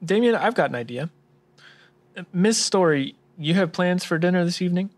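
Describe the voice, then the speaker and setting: Courteous noir gentleman played by a man. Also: high voice, lively intonation, normal speed. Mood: sly, polite. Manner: courteous, old-fashioned. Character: polite, sly gentleman in noir mystery. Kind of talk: noir radio drama dialogue.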